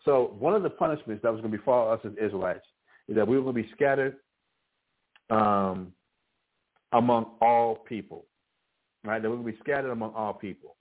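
Halting male preacher on a tinny line. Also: on the low side. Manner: halting, with many restarts and fillers. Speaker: teacher of a scripture class. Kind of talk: preaching a scripture class. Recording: phone-like narrow band.

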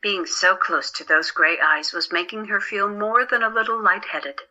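A woman's voice: medium pitch, moderate pace, pushed and bright, muffled recording. A woman narrating a scripted story for an audio drama.

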